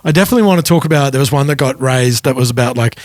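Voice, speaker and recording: A man's voice: medium pitch, very fast. A man chatting casually and enthusiastically as co-host of a music podcast, a bit nerdy. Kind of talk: casual chat.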